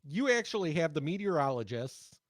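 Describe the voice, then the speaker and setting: A man's voice: fairly high pitch, big pitch swings, fairly quick, no room sound, clean studio sound. A man co-hosting a talk show, speaking casually and telling stories in a conversational way. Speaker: talk radio co-host, former TV news worker. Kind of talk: casual chat and storytelling.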